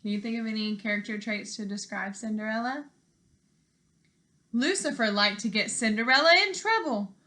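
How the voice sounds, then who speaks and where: A young woman reading a children's storybook aloud as a teacher, expressive, with deliberate emphasis. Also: mid-range voice. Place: living room at home.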